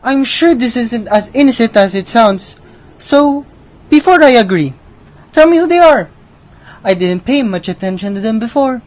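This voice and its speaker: A woman plays Death as a haughty, teasing gambler in a radio drama. The voice sits fairly high and talks down to others with sly, theatrical scorn. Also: animated, moderate pace, a little mellow, lightly acted. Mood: sly amusement. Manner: theatrical, condescending.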